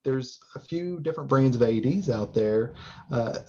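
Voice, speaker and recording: Earnest texas guy on a podcast, lots of ums, middle pitch, dull lo-fi audio. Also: lively intonation, normal speed, mellow. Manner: earnest, many fillers and restarts. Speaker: guest, life support and fire safety instructor. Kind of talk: podcast interview, explaining. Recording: dull lo-fi sound.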